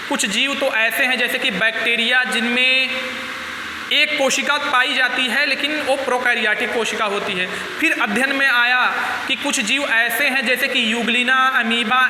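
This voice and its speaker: A male teacher giving a biology lecture with a sore, hoarse throat, his tongue stumbling now and then as he keeps calling his students friends. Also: very high voice. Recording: echoey hall with noise.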